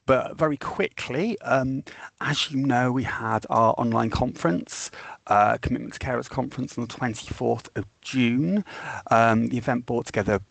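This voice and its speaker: A man's voice: medium pitch, moderate pace, gravelly, dry recording. A man hosting an online meeting, giving an update with many hesitant fillers. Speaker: meeting host.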